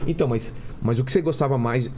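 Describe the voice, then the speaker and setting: A young man hosts a podcast, chatting casually and jumping in with quick reactions. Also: medium pitch, darkish tone, a little hiss or hum. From Brazil. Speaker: podcast host. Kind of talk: casual interview chat.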